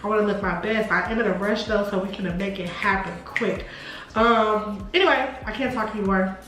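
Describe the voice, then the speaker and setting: A woman's voice: mid-range voice, fairly quick, fairly bright tone. Congested woman in a very echoey room. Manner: chatty, addresses viewers directly. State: congested, voice nearly gone. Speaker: beauty YouTuber host. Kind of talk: casual makeup tutorial voiceover. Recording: very echoey room, faint music.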